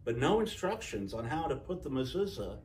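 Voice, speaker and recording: A man reading a picture book aloud to children in an expressive storyteller's style, acting out the dialogue. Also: mid-range voice, brisk, recorded from a distance, a little hiss or hum.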